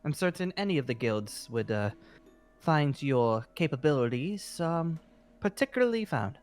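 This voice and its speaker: A man's voice: a little high, sing-song, moderate pace. A man playing an eager, apologetic fantasy guild leader in improvised roleplay, talking in a hesitant, rambling way. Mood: gracious, rambling. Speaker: roleplay performer. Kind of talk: improvised Minecraft roleplay dialogue. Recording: music under the voice.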